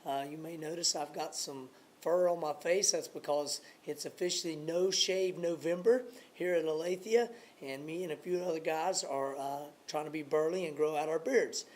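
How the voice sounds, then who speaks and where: A man in his thirties, hoarse and raspy from a cold, giving an earnest, halting update to his church supporters with many fillers. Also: a little high, a little mellow. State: hoarse from a cold, voice partly gone. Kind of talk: casual video update.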